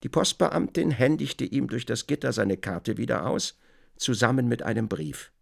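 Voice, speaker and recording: A man narrating a literary radio drama in a measured, deliberate storytelling manner. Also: mid-range voice, expressive, creaky.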